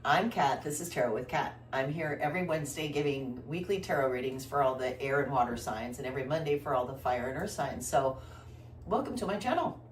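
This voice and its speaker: Low woman's voice, stuffy from a flu, rambling tarot host; recorded off-mic in an echoey room. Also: lively intonation, fairly quick, distant mic, a little hiss or hum. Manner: rambling, warm-hearted, many fillers and restarts. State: congested, recovering from flu, coughing. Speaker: tarot reader, channel host. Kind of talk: casual weekly tarot reading.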